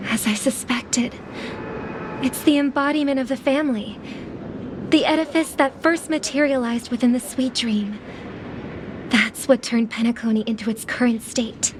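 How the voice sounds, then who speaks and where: A woman's voice: on the high side, steady tone, normal speed, background noise, natural voice. A woman playing an earnest young singer. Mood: knowing. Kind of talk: game cutscene voice acting. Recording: studio voice over loud music.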